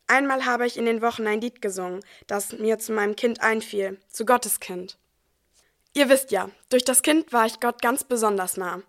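A woman's voice: fairly high pitch, fairly bright tone. A woman playing a devout, ordinary young expectant mother who tells her story in a scripted nativity audio play.

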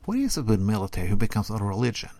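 A man whose first language is Norwegian gives a sarcastic commentary segment in deadpan tones, with halting non-native phrasing. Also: on the low side, no echo. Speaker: podcast segment presenter.

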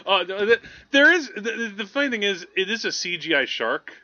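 Animated man with a bright, forceful voice. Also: high-pitched, fairly quick, dry recording, highs cut off. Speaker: podcast co-host. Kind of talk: casual film chat.

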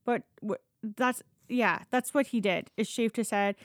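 A woman's voice: fairly high pitch, a little slow, dry recording, clean studio sound. A woman telling stories in a casual, chatty podcast style, informal and blunt, with loose swearing. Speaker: podcast storyteller and host. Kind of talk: casual conversational storytelling.

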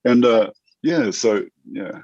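A New Zealand man in his fifties with an American accent, a tech entrepreneur talking through an interview in a halting way, full of restarts and fillers. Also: medium pitch, expressive, a little mellow, no room sound, lo-fi sound. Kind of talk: casual interview chat.

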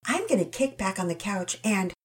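A woman's voice: medium pitch, very animated, moderate pace, husky. A woman voicing a gracious, playful mother in a children's audio story. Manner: warm, teasing.

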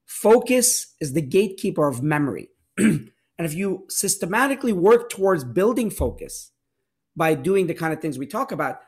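A man's voice: high voice, slightly rough. Earnest explaining man with restarts. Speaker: physician guest. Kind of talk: conversational podcast interview.

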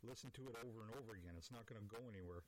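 A man's voice: lowish voice, racing, background noise. A man in his forties hosting a podcast, rambling through a casual, profane, self-deprecating monologue. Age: 40s. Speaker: podcast host.

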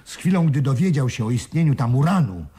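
An actor playing a bitter, grumbling man who feels swindled, in a radio play, with a husky voice. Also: mid-range voice, lively intonation, warm tone. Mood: resentful. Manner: grumbling, drawn-out. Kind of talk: Polish radio play acting.

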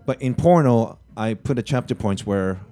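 A man from Japan, a comedian guest on a podcast, talking in a soft, halting way with many fillers. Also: mid-range voice, animated. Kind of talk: casual podcast chat.

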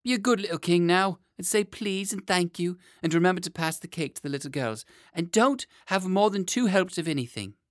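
A man's voice: high voice, animated, a little fast, few pauses, dry recording, clean studio sound, pitched above the performer's own voice. A man voicing a fussy, motherly nursemaid woman who scolds with affection in a storybook reading. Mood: fond, instructing.

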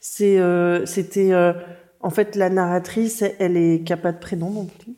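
A woman's voice: fairly low pitch, fairly even intonation, moderate pace, mellow. A woman guest answering in an interview, thoughtful and a little hesitant, with fillers between her ideas.

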